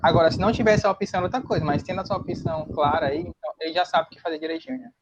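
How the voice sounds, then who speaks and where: Very high-voiced Brazilian man, muffled noisy call. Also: very fast. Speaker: online talk participant. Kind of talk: casual technical explanation.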